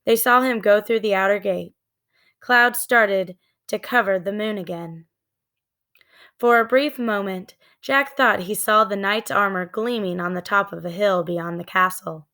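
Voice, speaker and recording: A woman narrating a children's book in a deliberate, expressive storytelling manner. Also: mid-range voice. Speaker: read-aloud storyteller.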